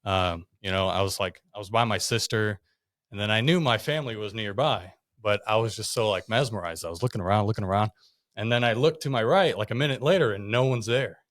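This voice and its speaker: Low-voiced young man telling a story. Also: brisk, fairly bright tone, no room sound. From Russia. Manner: chatty storyteller, fills with "like" and "you know". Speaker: podcast guest. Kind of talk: casual storytelling interview.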